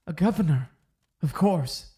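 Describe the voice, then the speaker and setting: An actor playing a timid, obedient young Puritan sheriff and nephew in an audio drama, hesitant and deferential. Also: male voice, a little high, expressive, unhurried, dark tone. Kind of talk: full-cast audio drama acting.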